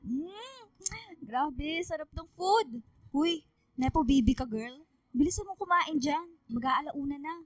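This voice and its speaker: A woman's voice: high voice, expressive, a little slow, no room sound. A woman playing a chatty, teasing teenage schoolgirl friend in a Filipino radio drama.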